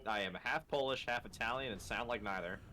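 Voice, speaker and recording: A man's voice: medium pitch, fairly quick, pushed and bright. A man from Florida with an American accent, joking and self-mocking on a gaming stream. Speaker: stream host. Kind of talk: casual gaming chat.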